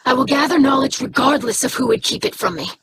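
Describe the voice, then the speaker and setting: Formal young alien scribe, dignified and precise, clear hiss under the voice. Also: female voice, high-pitched, animated, quick pace.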